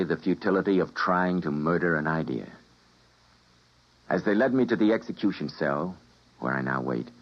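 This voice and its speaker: An actor playing an earnest male scientist, who narrates in a 1950s radio drama, with a husky voice. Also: low-pitched. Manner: intense, emotional delivery. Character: doomed male time-travelling scientist, earnest. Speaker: physicist and narrator. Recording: dull vintage radio broadcast.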